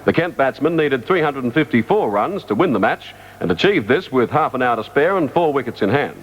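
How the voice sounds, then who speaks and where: A man's voice: medium pitch, very animated, brisk, breathy edge. A man with an Australian accent reading a scripted news bulletin on 1970s radio. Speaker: radio newsreader. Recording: dull 1975 AM radio aircheck.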